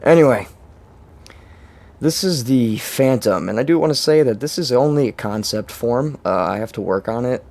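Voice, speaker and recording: A young man with a hoarse, strained voice casually walking through his game builds, rambling with fillers and chuckles. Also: mid-range voice, animated, moderate pace, a little mellow. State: hoarse, lost voice. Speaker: game channel host. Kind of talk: casual gameplay walkthrough.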